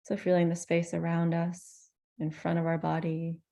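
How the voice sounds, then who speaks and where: A woman teaching meditation, guiding listeners in a gentle, soothing manner with pauses between phrases. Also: on the low side, completely flat delivery, vocal fry. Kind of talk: guided meditation.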